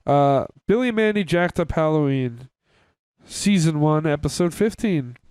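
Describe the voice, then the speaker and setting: Man, slightly high smooth voice, informal podcast co-host, hesitant recapping, casual talk. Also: expressive, measured pace, darkish tone, no room sound. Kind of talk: casual chat reviewing cartoon episodes.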